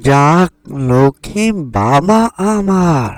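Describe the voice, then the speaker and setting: A man's voice: on the high side, lively intonation, slow pace, dry recording, pitched above the performer's own voice. A man voicing a protective father bird in a cartoon, doting yet scolding, with emphatic phrasing. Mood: doting, instructing. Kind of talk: Bengali cartoon voice acting.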